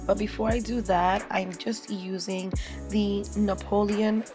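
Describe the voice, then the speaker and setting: Hoarse young woman, chatty tutorial narrator, over loud background music. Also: medium pitch, flat delivery, a little slow. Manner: chatty, playful, narrating each step. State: losing her voice from a cold. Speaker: makeup artist.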